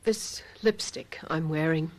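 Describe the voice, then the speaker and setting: An actress playing a desperate, sharp-tongued middle-aged mother in a radio mystery drama, with urgent dramatic delivery. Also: low voice, takes it slowly. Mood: desperate, wry.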